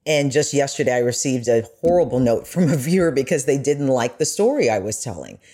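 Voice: mid-range voice, lively intonation, brisk, dark tone, husky. A journalist reflecting personally in conversation, speaking in an earnest, encouraging way.

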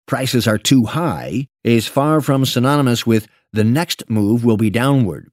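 Guy reading a finance memo, mid-range voice, measured n articulate, super dry studio sound. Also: mid-range voice, a little mellow, no echo. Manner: measured, articulate, deliberate phrasing. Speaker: professional narrator reading an investment memo. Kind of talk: scripted narration, read aloud.

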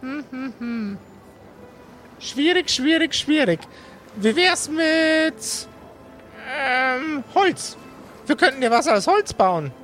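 Man putting on a high-pitched hesitant little helper. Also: big pitch swings, slow pace, pauses often, a bit bright, soft background music, exaggerated voice. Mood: pondering. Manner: hesitant, pondering with hums.

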